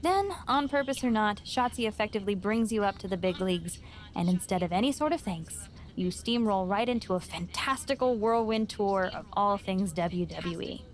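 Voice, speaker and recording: An actress playing a frank gym owner in a video game, mentoring with dry, teasing straight talk. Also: female voice, mid-range voice, normal speed, a little hiss or hum, lightly acted. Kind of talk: video game cutscene dialogue.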